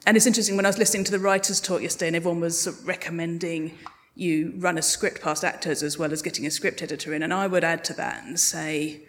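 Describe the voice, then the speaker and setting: A woman's voice: fairly low pitch, steady tone, fast talker, few pauses. A woman speaking as a guest in a live interview, reflective and earnest. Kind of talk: thoughtful live panel interview. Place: live podcast festival stage.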